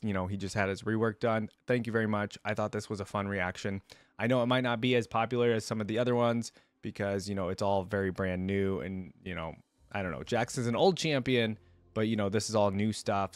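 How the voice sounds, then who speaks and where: A man's voice: fairly low pitch, dry recording. A man hosting a reaction video, commenting casually and speaking to viewers.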